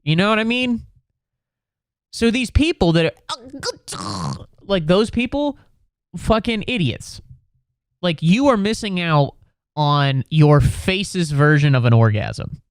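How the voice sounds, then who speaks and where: A man in his twenties, sick with a cold, hosting a comedy podcast from home in a profane, exasperated rant with sudden squeaky outbursts. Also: big pitch swings, slow pace, long pauses, smooth, dry recording, clean studio sound. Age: 20s. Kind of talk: ranting comedic monologue. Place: home room.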